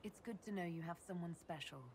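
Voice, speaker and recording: An actress playing a composed, earnest heroine in a video game, speaking in a direct, questioning manner. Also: female voice, fairly low pitch, a little fast, a little mellow, a little hiss or hum, natural voice. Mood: curious, polite.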